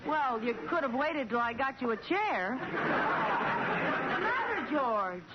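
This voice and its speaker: Through a muffled, hissy vintage radio recording comes a scatterbrained, adoring sitcom wife. Her voice is high and swoops widely as she gushes and coaxes, blithe and theatrical. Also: expressive, measured pace, over a noisy crowd. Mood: soothing. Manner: gushing, theatrical, coaxing. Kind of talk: 1940s-50s radio situation comedy acting.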